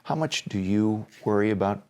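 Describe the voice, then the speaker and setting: A man hosting a podcast interview who asks gentle questions, often restarting and repeating words. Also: fairly low pitch, expressive, normal speed. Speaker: podcast host.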